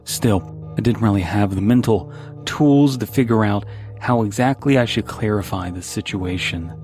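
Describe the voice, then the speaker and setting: A man with a fairly low voice narrates a creepy story in the first person. It is a scripted horror reading, with music playing faintly behind him. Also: moderate pace, a little mellow. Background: background music.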